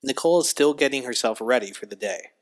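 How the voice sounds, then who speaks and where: A man's voice: mid-range voice, lively intonation, fast talker. A man reading scripted scene narration for an audio drama, describing the action as narrator. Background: music.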